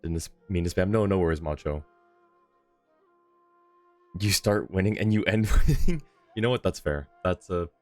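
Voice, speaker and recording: Deep-voiced man hosting a gaming stream. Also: darkish tone, no echo. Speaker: livestream host. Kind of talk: casual gaming stream chat.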